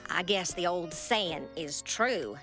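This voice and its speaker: A woman voicing a sanctimonious, scaremongering religious woman in an animated sitcom. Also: on the low side, slow pace, soft background music, full character voice. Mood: preachy mock concern.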